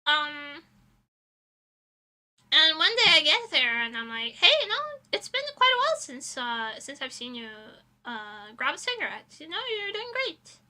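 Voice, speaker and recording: Young female VTuber streamer, high and piercingly bright voice, informal giggly delivery, rambling anecdotes in a casual chat style. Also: sing-song, unhurried. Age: young adult. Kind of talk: casual livestream chat and storytelling.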